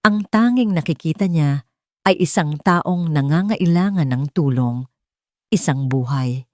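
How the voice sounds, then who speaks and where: A woman narrating a scripted audio drama with measured, dramatic delivery. Her voice is lowish and mellow, recorded close and dry. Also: expressive, no echo.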